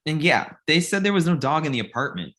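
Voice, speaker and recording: A man's voice: on the high side, big pitch swings, fast talker, pushed and bright. A man playing an anxious, bewildered young roommate in a comedy table read. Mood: concerned.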